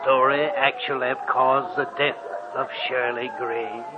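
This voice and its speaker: An actor playing a sinister, cackling old hermit who narrates a 1940s radio horror play, speaking slowly and drawing out his words. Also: medium pitch, level tone, natural voice. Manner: slow, drawn-out, cackling. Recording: dull old radio recording with loud hiss.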